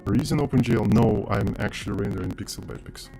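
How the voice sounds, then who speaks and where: A man's voice: on the low side, racing, vocal fry, mellow, faint music behind. A man, mumbling offhand and swearing casually as he chats with viewers on a live coding stream. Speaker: streamer and programmer.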